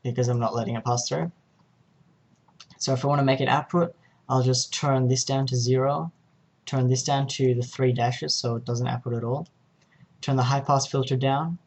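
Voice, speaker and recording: Man, middle-pitched voice, flat intonation, informal tutorial narration, hesitant step-by-step explaining. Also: moderate pace. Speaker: tutorial presenter.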